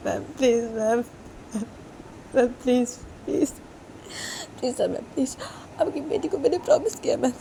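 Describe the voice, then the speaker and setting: A woman's voice: sits high, lively intonation, moderate pace, gravelly, long pauses, warm tone, a little hiss or hum, pitched above the performer's own voice, poor audio. A woman voicing a desperate young woman who pleads tearfully. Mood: tearful pleading.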